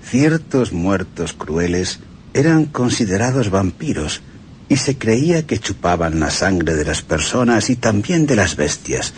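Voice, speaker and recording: Male radio reader, Spain Spanish accent, low and animated, solemn recitation. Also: a little slow, a little hiss or hum. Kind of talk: dramatic radio reading.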